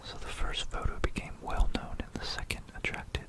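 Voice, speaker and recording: An ASMR narrator from Florida with an American accent whispers a musing, explanatory history talk close to a stereo microphone. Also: normal speed. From Florida, United States. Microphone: close stereo binaural microphone.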